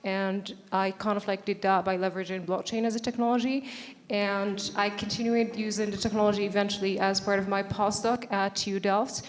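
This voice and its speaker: A woman's voice: medium pitch, level tone, brisk, long reverb tail. A woman researcher speaking on a conference panel in a casual way, with many fillers. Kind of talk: panel discussion.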